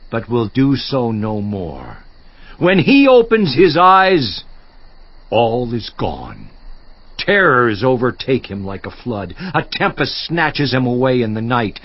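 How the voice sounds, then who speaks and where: A man's voice: mid-range voice, no room sound, exaggerated voice. An actor playing an anguished, defiant righteous man in a theatrical, emphatic scripture monologue. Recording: slightly muffled audio.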